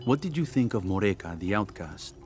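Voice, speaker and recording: A man's voice: fairly low pitch, lively intonation, a little fast, music in the background, natural voice. A man playing a genial proverb-quoting townsman. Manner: warm, sage, proverb-quoting. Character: wise, genial man from a hidden city. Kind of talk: video game cutscene dialogue.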